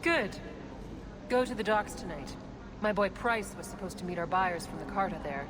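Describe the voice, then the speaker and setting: An actress playing a shady, businesslike smuggler boss in a video game, with dry sardonic delivery. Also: female voice, medium pitch, lively intonation, normal speed, background noise, close to an everyday voice. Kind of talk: video game dialogue acting.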